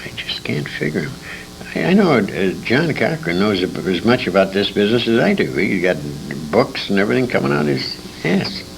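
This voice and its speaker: A man's voice: big pitch swings, moderate pace, vocal fry. An older American man reminiscing in an interview, telling anecdotes emphatically and repeating words for emphasis. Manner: emphatic storyteller, acts out quoted dialogue, repeats words for emphasis. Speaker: interviewee, veteran radio performer recalling his career. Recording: noisy 1980s convention interview tape, rumble.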